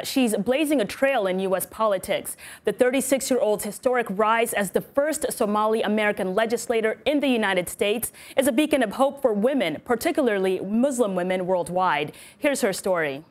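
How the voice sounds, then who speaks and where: A woman co-hosting a panel talk show, speaking quickly and emphatically. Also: a little high, expressive. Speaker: co-host. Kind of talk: panel discussion.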